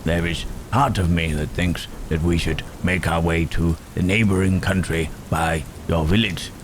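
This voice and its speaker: Male actor as ancient gentle monster, deep bass, very slow deliberate phrasing, musing murmurs, brooding, ASMR audio drama. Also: background noise. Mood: cautious, deliberating. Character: Frankenstein's monster, gentle, brooding, long-lived male creature. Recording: steady rain and thunder sound effects. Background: rain and thunderstorm ambience.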